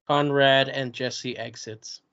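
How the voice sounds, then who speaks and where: A man's voice: mid-range voice, steady tone, takes it slowly, fairly bright tone, clean tone, highs cut off. A man reading stage directions aloud at a table read, plain and matter-of-fact, with small slips.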